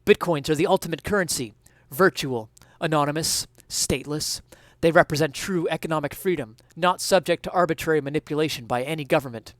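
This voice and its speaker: Slightly high-voiced man, dramatic reading. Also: fairly quick, a bit bright, dry recording. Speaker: dramatic reader. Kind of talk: dramatic first-person story narration.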